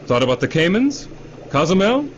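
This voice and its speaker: A man's voice: sits high, big pitch swings, normal speed. An actor in a radio drama playing a glib, defensive middle-aged executive who cajoles and repeats himself like a salesman. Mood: cajoling. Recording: dull radio drama recording, faint noise.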